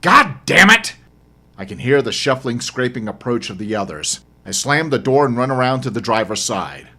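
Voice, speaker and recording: A man reading his own horror novel as dramatic first-person narration, husky and intense in delivery. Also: mid-range voice, brisk, fairly bright tone. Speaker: author reading own audiobook.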